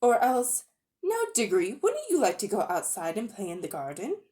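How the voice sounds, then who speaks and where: A woman voicing a miserable young boy in a storybook reading, talking in an upset, wound-up way, her voice raised higher than her narration. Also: wildly swooping pitch, normal speed, mellow. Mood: secretive. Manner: upset, wound up, near tears.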